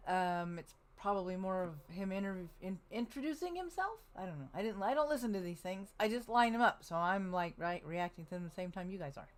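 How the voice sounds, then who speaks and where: A woman's voice: medium pitch, animated, fairly quick, hardly any pauses. A woman hosting a casual show, chatting off the cuff and laughing easily as she lines up old radio episodes. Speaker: podcast host. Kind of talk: casual chat.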